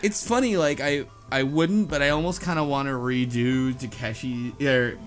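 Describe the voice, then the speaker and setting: A man's voice: mid-range voice, moderate pace. Man, host, casual chat, rambling, thinks aloud, faint background music. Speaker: podcast host. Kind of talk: casual group discussion.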